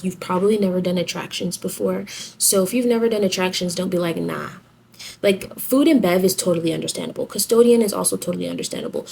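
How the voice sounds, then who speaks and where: A woman's voice: mid-range voice, a little mellow, a little hiss or hum. A young woman giving casual advice in a chatty, slangy, girlfriend-to-girlfriend way, like a vlogger. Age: young adult. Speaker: vlogger giving application tips.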